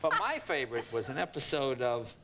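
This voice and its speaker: A man in his forties hosting a late-night talk show, joking and teasing his guest in quick banter. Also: mid-range voice, animated. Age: 40s. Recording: noisy satellite broadcast with loud studio audience.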